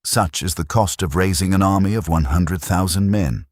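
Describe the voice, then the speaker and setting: A man reading a classic text as a scripted audiobook, in a measured, deliberate way. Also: low-pitched, dry recording, studio quality. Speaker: audiobook narrator.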